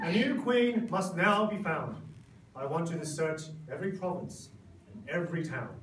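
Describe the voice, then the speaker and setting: Man as high-voiced, bossy comic king. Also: expressive, normal speed, natural voice. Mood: commanding. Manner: jokey, bossy, exaggerated. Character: flippant, commanding comic king, easily swayed. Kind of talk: amateur comic puppet show. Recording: echoey noisy room, distant mic.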